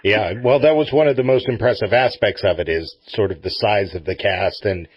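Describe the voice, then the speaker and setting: A husky man in middle age hosts a casual radio interview. His voice sits on the low side, close and dry, with a slightly lo-fi dullness. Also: a little fast, dry recording. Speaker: radio show host.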